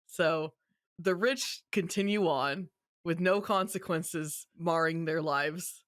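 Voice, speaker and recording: A woman's voice: on the low side, slow, fairly bright tone, no room sound, studio quality. A woman co-hosting a podcast in casual chat about a game's story, with a playfully sardonic manner. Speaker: podcast co-host.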